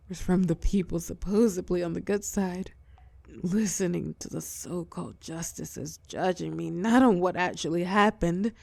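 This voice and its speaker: A woman playing a weary telepath supervillain and fallen hero, tender yet menacing, in an intimate audio roleplay with dramatic pauses. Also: lowish voice, vocal fry, warm tone, no echo. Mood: pained, bitter. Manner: soothing pet names, wry bitterness, dramatic pauses, drops into whispers.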